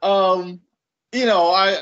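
A man in his late thirties, a guest on a podcast, telling stories with animated energy and chuckling between thoughts. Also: high-pitched, slow pace. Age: late 30s. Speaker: guest writer. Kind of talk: casual interview storytelling. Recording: dull lo-fi audio.

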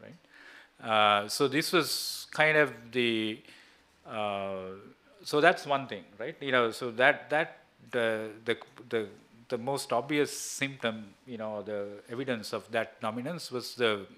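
Halting man with a bright, forceful voice. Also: medium pitch. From Sri Lanka. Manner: halting, with frequent "you know" and "right?" tags. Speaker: seminar speaker. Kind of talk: answering a question at an academic seminar. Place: small seminar room indoors. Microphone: gooseneck table microphone.

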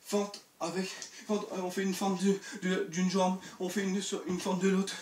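A man's voice: high-pitched, a little slow, slight background noise. A young man coaching a home leg workout while doing it himself, counting reps and pushing viewers on between breaths. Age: young adult. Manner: energetic, encouraging, exercising while talking. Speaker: fitness youtuber. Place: bedroom at home. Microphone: camera mic from across the room. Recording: echoey room, voice from far off.

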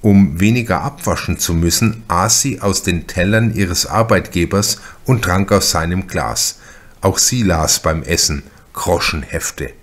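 A man reading a crime novel aloud as a narrator, in a measured and deliberate storytelling manner. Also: low voice. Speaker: audiobook narrator.

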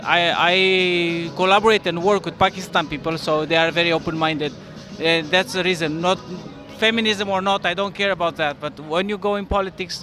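A man's voice: fairly high pitch, sharp tone. A middle-aged man from Spain, interviewed in a busy city square, earnestly explaining his opinion into a handheld mic. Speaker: passerby interviewee.

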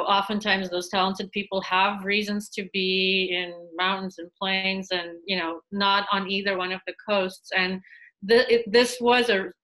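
A woman's voice: medium pitch, low-quality recording. A woman in her thirties from Russia, a museum curator talking on a video call with lots of fillers and restarts. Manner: animated, gestures while talking, many fillers and restarts. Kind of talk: casual video-call interview. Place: small room at home. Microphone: laptop webcam, video call.

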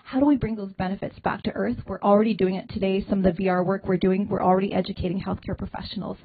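A young woman giving an energetic conference talk on space medicine, stressing key phrases with a handheld mic. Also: medium pitch, husky. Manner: energetic, punchy emphasis with slowed key phrases. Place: indoor wood-paneled hall, at a podium. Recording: tinny phone-like sound.

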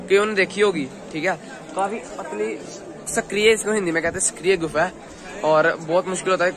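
A young man with a hoarse throat films himself on a phone at arm's length and talks straight to his viewers. His voice sits a little high and sounds chatty and excited. Crowd chatter carries in the background. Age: young adult. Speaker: travel vlogger. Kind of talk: casual vlog to camera. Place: outdoors at night on a crowded pilgrimage route, and in a busy eatery. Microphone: phone held at arm's length.

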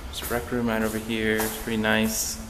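A young man giving a casual, halting, understated walk-through tour on a handheld camera. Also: completely flat delivery, noisy background. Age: young adult. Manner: low-key, halting, understated. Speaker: exchange student giving a tour. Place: indoors in a student dormitory, walking through rooms.

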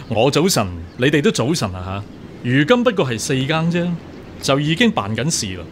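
An actor playing a genial, reflective scholar-official in a radio drama. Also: male voice, mid-range voice, very animated, normal speed, a little hiss or hum, lightly acted. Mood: genial, curious. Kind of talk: Cantonese radio drama acting.

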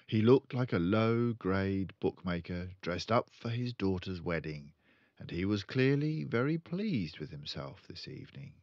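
An older man reading a children's book aloud as a storyteller, with deliberate, expressive phrasing. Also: low-pitched, dry recording, muffled recording. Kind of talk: children's audiobook narration.

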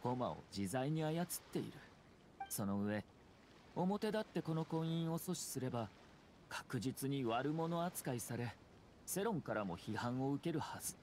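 A man's voice: mid-range voice, expressive, darkish tone, a little hiss or hum, lightly acted. Man acting composed, calculating young noble lord. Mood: calm, strategic. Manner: formal, polite, measured. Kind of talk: anime-style video game dialogue.

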